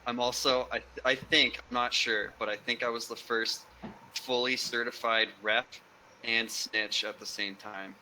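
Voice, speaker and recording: A young man, a guest on a podcast, telling a story in a casual, animated way. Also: mid-range voice, unhurried, slight background noise, poor audio. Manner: animated storyteller, acts out quoted people.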